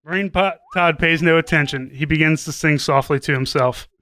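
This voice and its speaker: A man's voice: on the high side, moderate pace, bright, forceful tone. A man narrating a scripted comedy story as a podcast host, now and then stumbling as he reads.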